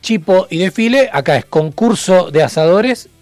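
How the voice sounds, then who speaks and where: Argentine-accented male radio host, a bit higher than average, informal on-air talk, close dry recording. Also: animated, normal speed, a little mellow, dry recording, soft background music. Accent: Argentine Spanish. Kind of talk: casual on-air chat and announcements.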